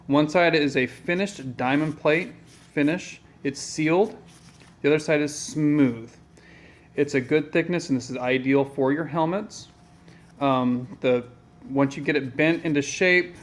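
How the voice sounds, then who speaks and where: A man talks through a hobby how-to in a relaxed, unhurried way. His voice is plain and even, sitting at an ordinary middle pitch, and he wanders from point to point with frequent ums. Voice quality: flat, even voice. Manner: plain, low-key and meandering, with many ums. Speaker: hobby tutorial maker. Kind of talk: casual how-to tutorial.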